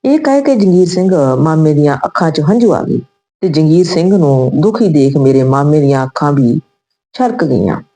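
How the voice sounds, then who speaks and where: A woman's voice: low-pitched, expressive, normal speed, dark tone, low-quality recording. A woman narrating a scripted story.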